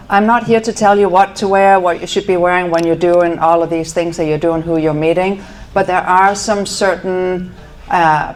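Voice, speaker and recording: A middle-aged woman from Denmark speaks with a Danish accent, her voice sitting a little low. She presents to a live audience in an easy, conversational way, with fillers and quick self-corrections. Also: slight background noise. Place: event venue.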